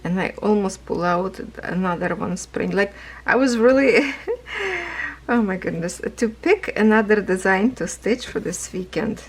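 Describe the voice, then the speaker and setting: A woman chatting casually about her cross-stitch projects, rambling, laughing often, with a hoarse voice as she is losing it. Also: medium pitch, expressive, measured pace. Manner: rambling, non-native phrasing, laughs often. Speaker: craft vlogger. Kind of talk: casual chat while stitching.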